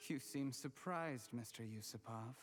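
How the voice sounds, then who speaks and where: A male actor voicing a cold, calculating young schemer in a video game, composed and smug, with deliberate menace. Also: mid-range voice, natural voice. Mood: coldly resentful. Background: background music.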